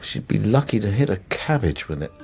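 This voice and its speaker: An actor playing a blunt, irreverent police detective with a dry, sardonic manner in a radio drama. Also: male voice, on the low side, big pitch swings, brisk, creaky, darkish tone. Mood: dry, musing. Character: blunt, irreverent male police colleague, gun enthusiast.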